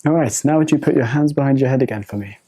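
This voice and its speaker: A woman doctor examining a patient, giving soft, polite, reassuring instructions. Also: very deep voice, very fast, breathy edge. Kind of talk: gentle clinical instructions.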